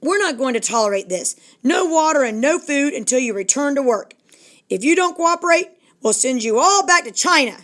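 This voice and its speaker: A harsh railroad boss from a storybook, voiced by a woman reader. The delivery is stern and forceful, barking threats and orders with raised pitch. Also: animated, moderate pace. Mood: stern, threatening.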